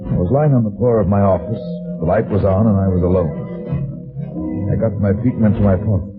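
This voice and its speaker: A man's voice: fairly low pitch, lightly acted. An actor playing a wry, wary, heavyset private detective, narrating his case tersely in a radio drama. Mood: brooding, wry. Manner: deliberate, terse, dry storytelling. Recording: old phone-like radio recording, music behind.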